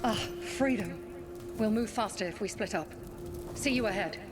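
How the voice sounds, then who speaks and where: A woman voicing a brisk, loyal elven rebel agent, battle-ready, in a video game. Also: medium pitch, music in the background, natural voice. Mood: brisk, resolute.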